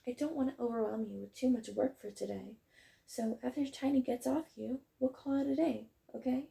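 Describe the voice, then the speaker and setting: A woman acts out a wholesome tomboy dog girl in an audio roleplay. The voice sits fairly high, yet it is very mellow and soft-edged, with a slight creak. The delivery is gentle, chatty and reassuring, like a kindly kennel worker. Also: fairly even intonation, fairly quick. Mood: friendly, explaining. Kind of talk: roleplay audio, acted one-sided conversation.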